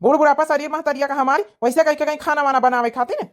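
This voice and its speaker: A woman's voice: high-pitched, steady tone, racing, natural voice. A woman voicing an indignant grown village son in a comedy cartoon, scolding and arguing in an Awadhi accent. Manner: scolding, argumentative. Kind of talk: comedy cartoon family quarrel.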